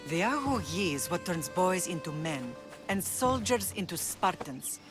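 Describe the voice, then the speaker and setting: A woman playing a stern yet loving older Spartan mother in a video game, speaking in a measured, solemn way. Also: fairly low pitch, sing-song, music in the background.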